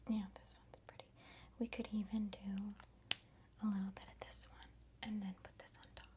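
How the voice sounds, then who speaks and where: A woman in her twenties doing an ASMR role-play as a friend, soft, unhurried, chatty and reassuring. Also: mid-range voice, little pitch movement. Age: 20s. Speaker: ASMR creator playing a friend doing the listener's makeup.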